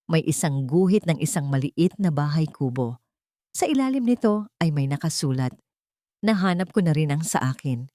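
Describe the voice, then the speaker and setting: Woman storyteller with a low, dry-recorded voice. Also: mellow, dry recording. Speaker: audiobook storyteller. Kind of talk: scripted dramatic narration, first-person story.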